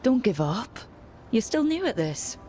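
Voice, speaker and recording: An actress playing a steady, supportive woman adventurer and leader with a light wit in a video game. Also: a little high, measured pace, a little hiss or hum. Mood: encouraging. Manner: encouraging, composed. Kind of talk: video game cutscene acting.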